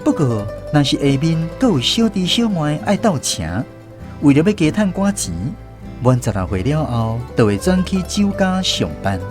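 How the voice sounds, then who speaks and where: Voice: medium pitch, very animated, normal speed, natural voice. An actor with a Taiwanese accent narrating a radio drama in the first person, as a grown-up recalling a village childhood. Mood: reflective storytelling. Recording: music under the voice.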